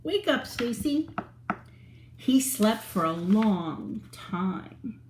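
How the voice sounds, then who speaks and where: A woman's voice: on the high side, very animated, drawn-out words, a little mellow, echoey room, a little hiss or hum. Sing-song woman reading to children. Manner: playful, sing-song, talks to young listeners. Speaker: children's storyteller. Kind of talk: reading a picture book aloud to children.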